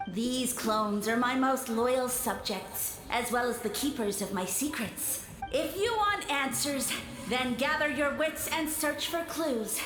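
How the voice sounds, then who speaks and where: Haughty, echoing mushroom sage, high-pitched. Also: female voice, takes it slowly. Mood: grandly condescending. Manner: grandiose, disdainful, wordy. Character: pompous self-styled mushroom sage, haughty and easily offended. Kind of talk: video game voice acting. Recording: echoing voice over loud game music.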